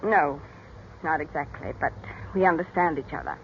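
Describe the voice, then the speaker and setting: A woman's voice: low-pitched, lively intonation, moderate pace. An actress playing a weary, devoted mother confessing to police, in earnest, emotional 1940s radio drama style. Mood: anxious. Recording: dull, noisy 1940s radio recording.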